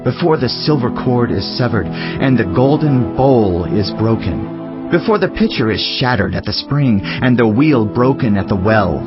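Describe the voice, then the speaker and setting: A solemn old-world sage of scripture acted by a man declaims in a measured, reflective way. The sound is slightly dull and lo-fi, with music playing underneath. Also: medium pitch, animated, lightly acted. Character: solemn male Teacher, wise biblical sage reflecting on life. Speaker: scripture reader. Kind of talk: dramatized scripture reading. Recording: dull lo-fi audio over music.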